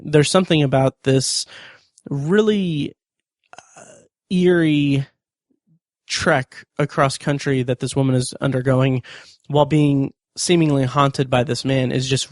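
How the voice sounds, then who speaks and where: A man hosting a review podcast, talking through notes and opinions in a somewhat halting, hesitant way. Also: fairly high pitch, no echo, clean studio sound. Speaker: podcast host.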